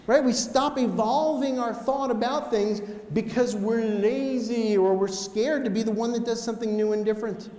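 A middle-aged American man giving a conversational motivational talk on stage, telling stories in an animated manner. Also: very high-pitched, moderate pace, hardly any pauses. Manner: animated, conversational, acts out dialogue. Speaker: keynote speaker. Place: on stage in an auditorium. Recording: echoey hall with background noise.

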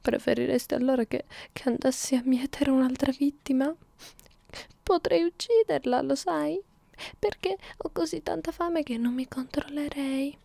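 A woman voicing a hungry, clingy vampire girlfriend in an intimate ASMR roleplay, murmuring softly and slipping into breathy whispers. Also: high voice, no echo. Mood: needy, hungry. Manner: coaxing, halting, close-up murmuring with small hums. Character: hungry immortal female vampire girlfriend, clingy, teasing, predatory.

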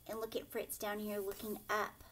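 A woman in her thirties reading a children's picture book aloud expressively, pausing to explain and ask questions like a teacher. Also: mid-range voice, monotone, fairly bright tone, a little hiss or hum. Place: furnished living room, indoors.

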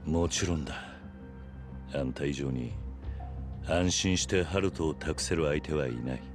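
An actor playing a stoic, protective middle-aged yakuza man in a game drama, speaking in terse, grave, measured phrases. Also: very deep voice, music in the background. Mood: resolute. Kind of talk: dramatic Japanese video game acting.

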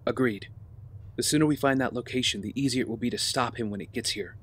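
A man's voice: mid-range voice, fast talker, slight background noise, natural voice. A man playing an earnest, burdened young vigilante leader in an audio drama, serious and sincere. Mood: focused, resolute. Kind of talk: naturalistic audio drama acting.

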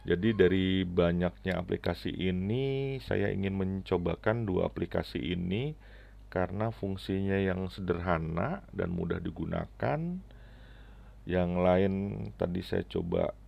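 Casting: middle-aged man, tutorial presenter, very gravelly and unhurried, halting phrasing, slightly muffled headset sound. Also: deep voice. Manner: halting, short phrases with frequent tag words. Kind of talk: unscripted app tutorial.

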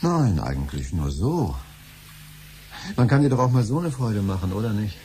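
A man's voice: lowish voice, sing-song, moderate pace, warm tone. A man acting an impatient, scheming husband and father in a 1970s German radio drama, speaking colloquially in a hesitant, pressing way. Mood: coaxing. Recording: slightly noisy 1970s radio recording with hum.

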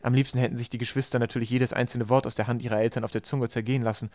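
A man narrates an audiobook in a medium-pitched voice. He reads the scripted prose at a brisk clip, and the sound is close and dry. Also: flat delivery, darkish tone, no room sound.

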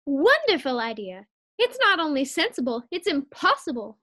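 A woman's voice: high-pitched, big pitch swings, normal speed, fairly bright tone. A woman acting a scheming, cheerful, scatterbrained housewife in a 1940s-style radio sitcom. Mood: breezy.

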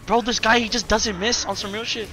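A man's voice: high voice, fairly even intonation, a little fast, sharp tone, soft background music. A man in his twenties giving casual live game commentary, firing off repeated short callouts with slang and swearing. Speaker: streamer. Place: indoors in a furnished room.

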